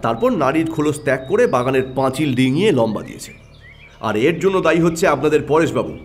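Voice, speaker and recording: A man's voice: fairly high pitch, lively intonation, brisk, a little hiss or hum, close to an everyday voice. A man voicing a shrewd, decisive detective in an audio story, with a stern, assertive manner. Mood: confident, explaining. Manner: assertive, explanatory.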